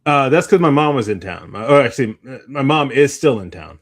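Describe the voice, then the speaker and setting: A man co-hosting a podcast in casual chat, with a halting, meandering, slangy way of talking. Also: medium pitch, expressive. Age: adult, parent of young child. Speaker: podcast co-host.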